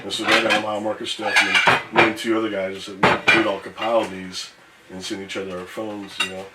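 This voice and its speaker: Laid-back low-voiced man, echoey breakfast room. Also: little pitch movement, brisk, heavy background noise. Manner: laid-back, swears casually, laughs often. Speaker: former long-haul truck driver. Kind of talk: casual breakfast chat. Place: room during a breakfast, dishes clattering. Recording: echoey room, far from the mic, clatter of dishes.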